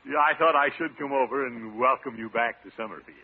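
An actor playing a flustered, pompous middle-aged American bachelor in a radio situation comedy, with hesitant, blustering delivery. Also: male voice, mid-range voice, fairly quick, slightly rough. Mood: flustered, charmed. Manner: hesitant, blustering, nervous chuckles. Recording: tinny, noisy old radio broadcast recording.

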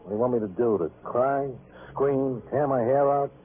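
An American actor playing a bitter, evasive, tired night-shift worker, speaking in short sardonic phrases in old-time radio drama style, with a husky voice. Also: male voice, medium pitch, moderate pace, close to an everyday voice. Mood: bitter, weary. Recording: tinny, band-limited vintage radio recording.

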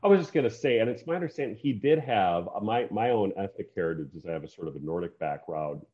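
A man's voice: fairly low pitch, expressive, fast, mellow, muffled recording. A man from Iowa interviewing a guest, reflecting thoughtfully with meandering asides. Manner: thoughtful, meandering, many fillers.

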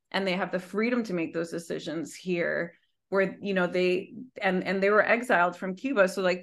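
Earnest, mid-pitched woman co-host. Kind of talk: podcast conversation.

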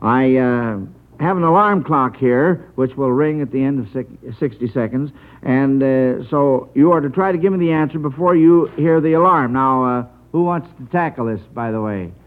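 A man's voice: medium pitch, normal speed. A man hosting a live mid-century radio quiz show, genial and hesitant in his phrasing, with encouraging asides. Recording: old radio broadcast, narrow tinny sound.

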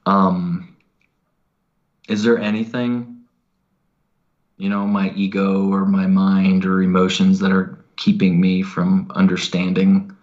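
A man's voice: low voice, flat delivery, slow pace, pauses often, a little mellow, highs cut off. A man in conversation, asking questions hesitantly and searching for his words as a client. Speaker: client, skeptic receiving a reading.

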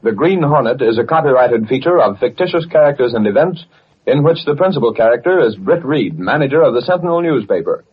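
Husky old-time radio announcer man. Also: medium pitch, expressive, brisk. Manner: declamatory, portentous. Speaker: announcer, narrator. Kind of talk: dramatic scripted 1930s radio narration. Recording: dull, muffled old radio recording.

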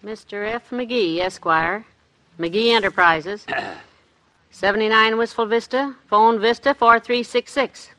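A woman acting a patient, middle-aged housewife with a dry, gently needling manner in 1950s radio comedy. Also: fairly high pitch, slow pace, poor audio. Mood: sceptical. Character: patient, sceptical, wryly teasing housewife.

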